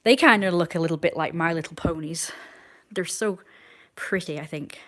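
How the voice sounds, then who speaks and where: A woman's voice: lowish voice, normal speed, a bit bright. A woman in her twenties chatting casually on a live stream, rambling and answering viewers' comments as they come in. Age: 20s.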